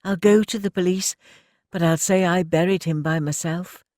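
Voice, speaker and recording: A man's voice: high-pitched, fairly even intonation, normal speed, a little mellow, no room sound, studio quality, voice pushed up, close to an everyday voice. A man voicing a troubled young woman who talks shakily but emphatically. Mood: resolute. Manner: emphatic, shaky.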